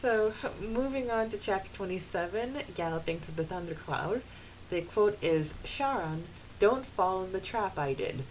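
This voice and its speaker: A woman's voice: medium pitch, lively intonation, moderate pace, poor audio. A giggly woman co-hosting a podcast, casually discussing a book and reading out quotes from it. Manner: giggly, often laughing. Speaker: podcast co-host. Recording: hissy background noise.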